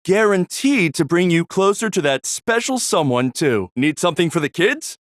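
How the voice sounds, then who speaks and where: A man's voice: high-pitched, lively intonation, moderate pace, no echo, clean studio sound. A man playing an eager souvenir seller with salesman patter in a video game. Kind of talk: video game NPC lines.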